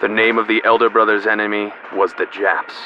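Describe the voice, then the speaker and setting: A young man playing a grave, ominous narrator of a 1940s radio play, portentous and measured. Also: lowish voice, flat delivery, natural voice. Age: young adult. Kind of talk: dramatic scripted narration. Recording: dull radio-style audio, faint noise.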